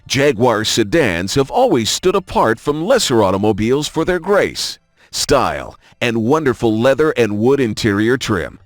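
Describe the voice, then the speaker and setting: A man narrating a scripted promotional documentary in a polished, measured announcer delivery. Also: medium pitch, big pitch swings, no echo, clean studio sound. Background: music.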